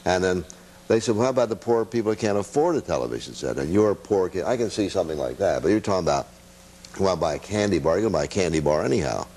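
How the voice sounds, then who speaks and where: A man's voice: sits low, animated, very fast, a little mellow, breathy edge. An older man, a veteran radio personality, chatting casually as a guest in a jocular, folksy way. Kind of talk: casual chat.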